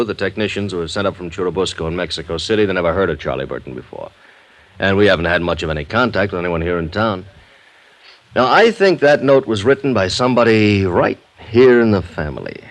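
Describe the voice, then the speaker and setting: An actor playing a bitter, weary television producer in a 1950s radio drama, blunt and sardonic. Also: male voice, deep voice, animated, fast talker. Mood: dry, explanatory.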